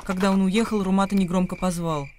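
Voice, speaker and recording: A man's voice: high-pitched, fairly even intonation, fast, darkish tone, a little hiss or hum. A man delivering dramatic scripted narration as the narrator of a radio play.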